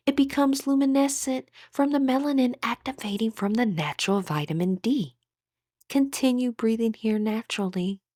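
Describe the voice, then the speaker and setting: A woman leading a scripted guided meditation in a soothing, deliberate manner that often softens into breathiness, recorded close on a voice-over microphone. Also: high-pitched, no room sound.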